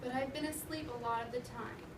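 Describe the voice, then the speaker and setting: A woman voicing a gentle, lonely old teddy bear, mild and unhurried, in a storybook read-aloud. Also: a little high, steady tone, lightly acted. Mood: wistful. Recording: echoey noisy room, distant mic.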